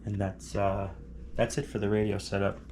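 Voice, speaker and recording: A man's voice: lowish voice, flat delivery, moderate pace, noisy background. A man with a cold and a nasal, stuffed-up voice, explaining a setup step by step like a casual tutorial. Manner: step-by-step explaining, often checks in with "okay?". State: congested with a cold. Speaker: hobbyist demonstrating a radio setup. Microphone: camera on a handheld tripod.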